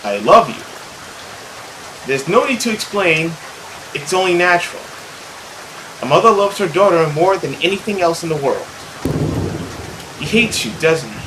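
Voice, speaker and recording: A man's voice: fairly high pitch, a bit bright, slight background noise, poor audio. A man voicing a cruel, possessive mother who scolds and coos at her daughter in a visual novel. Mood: possessively tender.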